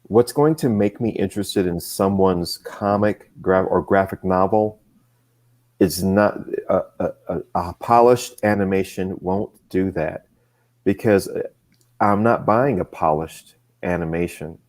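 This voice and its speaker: Deep-voiced man speaking haltingly. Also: darkish tone. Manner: halting, restarts often, measured. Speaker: comics creator. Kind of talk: casual discussion.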